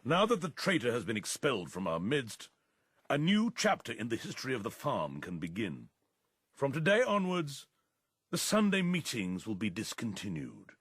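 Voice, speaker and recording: An actor playing a stern, menacing pig who rules a farm as dictator, gruff and commanding, in a radio drama. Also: male voice, mid-range voice, wildly swooping pitch, moderate pace, a bit bright, no echo, full character voice. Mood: coldly commanding.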